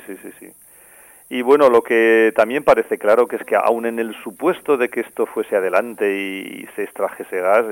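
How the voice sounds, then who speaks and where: A man with an accent from Spain speaking as an expert radio guest, explaining in a measured, didactic way. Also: fairly low pitch, creaky, rough recording. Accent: Spanish from Spain. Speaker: geology professor, radio guest. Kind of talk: explanatory interview talk.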